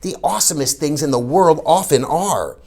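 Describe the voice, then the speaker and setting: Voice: mid-range voice, lively intonation, normal speed, mellow, voice pushed up, lightly acted. A reader voicing an imaginative, cheeky young schoolboy in a storybook, eagerly and earnestly telling tall tales. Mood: excited boasting.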